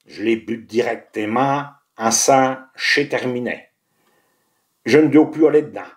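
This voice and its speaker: Slow-paced man reciting in Picard accent. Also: mid-range voice. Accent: Picard, from the Tournai area. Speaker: monologue performer. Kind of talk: recited rhyming dialect monologue.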